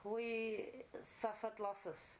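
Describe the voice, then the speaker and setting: A woman's voice: medium pitch, flat delivery, very slow. Woman playing a stern unicorn mother chieftain. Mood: grave.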